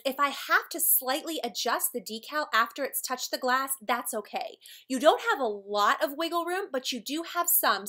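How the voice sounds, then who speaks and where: High-voiced woman presenting a tutorial. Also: pushed and bright. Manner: clear step-by-step explaining. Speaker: tutorial presenter for a decor company. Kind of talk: scripted instructional narration.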